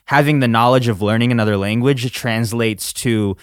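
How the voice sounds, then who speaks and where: A man hosting a casual interview, relaxed and full of fillers and agreeing noises. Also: mid-range voice, normal speed, clear, resonant tone, no room sound, clean studio sound. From United States. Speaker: podcast host.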